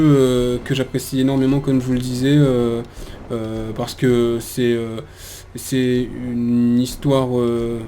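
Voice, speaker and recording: Mellow man hosting a let's play. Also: medium pitch, slight background noise. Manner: hesitant, halting, self-correcting. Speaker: let's play video host. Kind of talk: casual game commentary.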